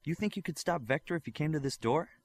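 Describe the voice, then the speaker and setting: Concerned, curious young heroine for a superhero audiobook, read by a man, gently raised pitch. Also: animated, fast talker, gravelly, no room sound. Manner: inquisitive, concerned. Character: young female superhero healer, caring and curious.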